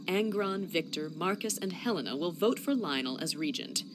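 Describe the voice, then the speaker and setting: Actress doing wise grave fantasy mentor woman, lowish voice, animated, music. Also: moderate pace, background noise, natural voice. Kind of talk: scripted video game cutscene acting.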